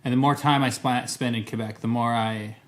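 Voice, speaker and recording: A man's voice: mid-range voice, fairly even intonation, fairly quick, slight background noise. A Canadian man with English as his first language, talking casually to camera as a vlogger, thoughtful and careful, often hedging and restarting his sentences. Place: Montreal.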